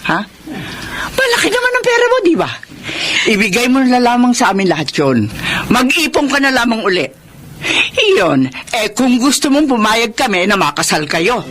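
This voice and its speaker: A woman's voice: on the high side, big pitch swings, normal speed. An actress playing a domineering, money-minded mother who scolds and insists in a Filipino radio drama. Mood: stern, demanding. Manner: scolding, insistent, sharply emphatic. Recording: noisy radio drama recording.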